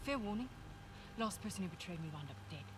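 A woman's voice: fairly low pitch, animated, fast, natural voice. An actress with a South African accent playing a tough, stern, suspicious woman mercenary in a video game, speaking bluntly. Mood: accusing. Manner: blunt, businesslike. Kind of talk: naturalistic video game cutscene acting. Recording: loud game action noise and ambience.

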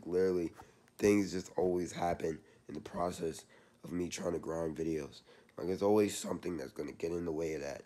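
A young man talks loosely and casually, his voice hoarse and worn down. His pitch sits a little low, and he speaks close to a laptop webcam in a small room. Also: mellow. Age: young adult. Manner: loose and chatty, slangy. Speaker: sports channel vlogger. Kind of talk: casual vlog update.

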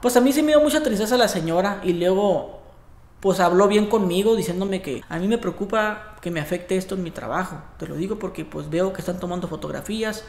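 A man in his thirties with a Mexican accent, telling a story in a casual vlog, profane and animated, acting out what others said. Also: high-pitched, fairly quick, roomy sound. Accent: Mexican Spanish. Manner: animated, profane, acts out quoted voices with gestures. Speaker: barber and vlogger. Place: indoor barbershop with brick wall. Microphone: camera at desk distance.

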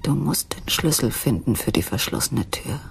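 An actress playing a troubled, anxious wife in a German radio crime drama, with a hesitant, brooding manner. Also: female voice, a bass voice, animated, normal speed, gravelly, slight background noise, full character voice.

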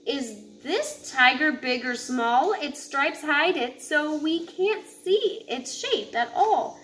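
A woman with a high voice reads a children's picture book aloud at an unhurried, slow pace. Her delivery is deliberate and instructive. It is a home recording with faint background noise. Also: expressive, a bit bright. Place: home living area with kitchen, indoors. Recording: noisy home recording, room ambience.

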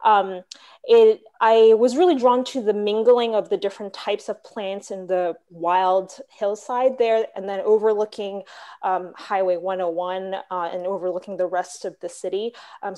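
A young adult woman from Hong Kong presenting her paintings on a video call, measured and full of "um" and "uh". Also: mid-range voice, mellow. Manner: measured, with frequent "um" and "uh". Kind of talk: online slide presentation. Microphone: laptop webcam video call.

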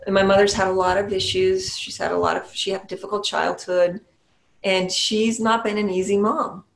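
A woman in her forties who teaches meditation talks slowly and reflectively, with small restarts along the way. Her voice has a gravelly edge and rings a little in an echoey home room. It comes through a webcam on a video call. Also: mid-range voice, steady tone. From New Hampshire, USA. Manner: thoughtful, unhurried, with small restarts and soft laughs. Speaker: Zen priest and meditation teacher.

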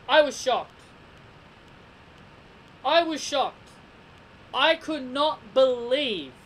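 A young man giving a casual wrestling review from his bedroom, excitable and repeating himself with stammering restarts, recorded on a desk webcam. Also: extremely high pitch. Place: small bedroom. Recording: noisy home webcam recording.

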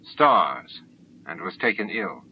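A man's voice: deep voice, big pitch swings, takes it slowly, husky, heavily acted. A man voicing a deferential alien council member in an audiobook, hesitant, careful and apologetic. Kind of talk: audiobook character acting. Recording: dull lo-fi audiobook tape with music.

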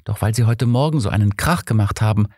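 A man's voice: on the low side, quick pace, a little mellow, husky, no echo, studio quality, pitched above the performer's own voice. A man voicing a guarded, matter-of-fact young workhouse girl with a faint defiance, in an audiobook story. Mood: matter-of-fact, resigned. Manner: matter-of-fact, resigned, deferential in wording.

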